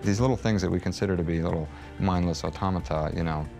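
A man's voice: low voice, fast, gravelly. A man working on a film's design, speaking in a behind-the-scenes interview. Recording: music playing behind the voice.

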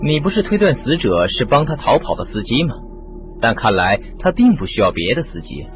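An actor playing a clever, self-assured sleuth in a radio mystery, explaining with confidence. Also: male voice, mid-range voice, sing-song, a little fast, close to an everyday voice. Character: clever, self-assured male sleuth making his case. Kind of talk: Chinese radio mystery drama acting. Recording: muffled lo-fi radio drama, music behind.

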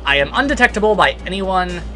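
A man with a high, bright voice gives casual video game commentary. He is sarcastic and playfully grandiose, with game music behind him. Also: lively intonation, fast. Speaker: let's play commentator.

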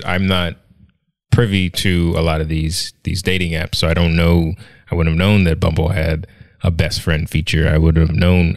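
A man's voice: sits low, no echo. A man in his thirties co-hosting a casual podcast at home, talking in a rambling, thoughtful way with many restarts and fillers. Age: 30s. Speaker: podcast co-host. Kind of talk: casual conversational podcast chat. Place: living room at home, indoors. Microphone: studio mic on a stand with headphones.

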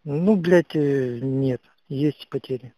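Grumbling, swearing soldier, dry phone call. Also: male voice, mid-range voice, slow, warm tone, no room sound. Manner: grumbling, profane. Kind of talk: casual phone chat with heavy swearing.

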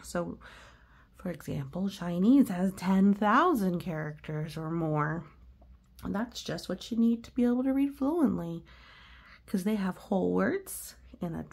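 Lady chatting to little kids during storytime, reassuring, slow and a bit husky. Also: medium pitch, a little mellow. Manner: reassuring, explanatory. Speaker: storybook reader. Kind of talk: read-aloud commentary for young children.

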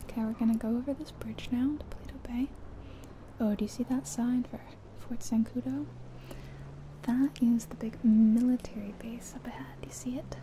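Whispering woman, very soft and mellow. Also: on the high side, low-quality recording. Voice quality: breathy, whispery. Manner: gentle, chatty, unhurried. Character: friendly small-town taxi driver giving a guided ride. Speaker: ASMR roleplayer playing a friendly taxi driver. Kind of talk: soft-spoken whispered ASMR roleplay, improvised chatting. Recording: steady car and rain noise. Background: car sounds and rain.

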